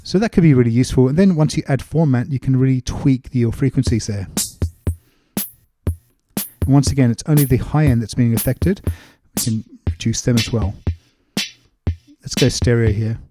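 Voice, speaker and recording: A man's voice: medium pitch, moderate pace, pauses often. A man giving a casual, step-by-step music production tutorial in an informal way. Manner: informal, explains step by step while demonstrating. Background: music loops and vocal samples.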